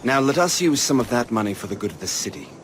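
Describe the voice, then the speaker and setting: An actor playing a high-minded colonial-era army colonel in a game cutscene, speaking formally in a measured, gentlemanly manner. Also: male voice, expressive, background noise, lightly acted. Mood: encouraging. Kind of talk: dramatic game cutscene dialogue.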